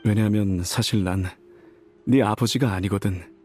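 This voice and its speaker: A man's voice: fairly low pitch, steady tone, normal speed, darkish tone, faint music behind, natural voice. A man voicing an ailing old mercenary leader, a boastful but fond father, in a wistful, wry, folksy game performance. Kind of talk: dramatic game voice acting, letter read aloud.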